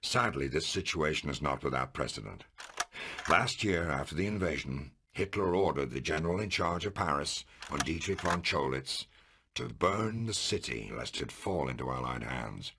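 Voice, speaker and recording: A grave American military commander, with an extremely deep voice. He delivers game mission briefings in a measured, weighty way that carries authority. There is noticeable noise behind the voice. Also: animated, bright, forceful tone, heavily acted. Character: grave OSS commanding officer briefing a lieutenant.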